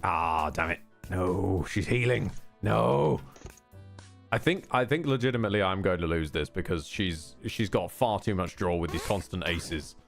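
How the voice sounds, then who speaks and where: A man's voice: low-pitched, expressive, normal speed, vocal fry, faint music behind. A man giving casual live game commentary as a streamer, swearing, grumbling wryly and cutting himself off. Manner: sweary, self-interrupting, wry grumbling.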